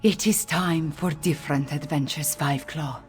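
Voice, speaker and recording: Slow, low-voiced catfolk queen. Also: faint music behind. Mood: warm, relaxed. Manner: measured, earnest, formal speech. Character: courageous young catfolk queen, grateful, solemn and regal. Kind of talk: scripted video game voice acting.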